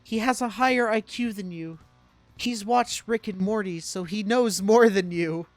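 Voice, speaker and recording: A woman's voice: mid-range voice, a little slow, no echo. A young adult woman giving casual, jokey live commentary on a video game, full of rambling asides. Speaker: game streamer. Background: video game music.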